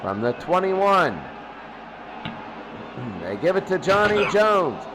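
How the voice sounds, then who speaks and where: A man doing excitable play-by-play commentary of a football game, repeating phrases and drawing out his calls. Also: sits high, slow pace, music in the background, low-quality recording. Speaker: sports commentator.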